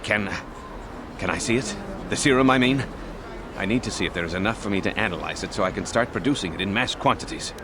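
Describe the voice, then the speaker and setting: An actor playing an earnest, kindly medic and shopkeeper in a video game. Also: male voice, fairly low pitch, fast talker, noisy background, close to an everyday voice. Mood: amazed, eager.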